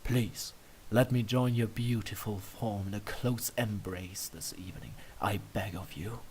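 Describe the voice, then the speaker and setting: A man's voice: lowish voice, measured pace, a little mellow, slight background noise, pitched below the performer's own voice. A man voicing a polite pony royal guard in a story reading, formal and theatrically earnest, a little flustered. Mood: earnest pleading.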